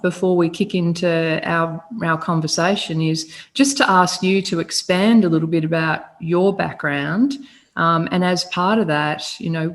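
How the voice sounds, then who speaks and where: A woman hosting an interview podcast, enthusiastic as she welcomes her guest and reads his bio. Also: lowish voice. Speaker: podcast host.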